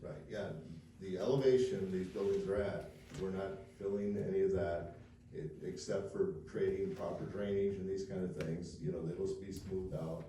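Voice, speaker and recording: A man's voice: low voice, fairly even intonation, normal speed, dark tone. A man presenting a development plan at a public meeting, talking in a rambling way with many fillers and restarts. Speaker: developer's representative. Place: township meeting room. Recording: echoey room, distant mic, faint hiss.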